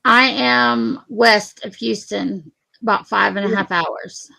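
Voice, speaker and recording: A woman's voice: medium pitch, steady tone, takes it slowly, vocal fry, pushed and bright, no echo, low-quality recording. A woman from West Texas, a guest in a casual chat, speaking plainly through her computer mic.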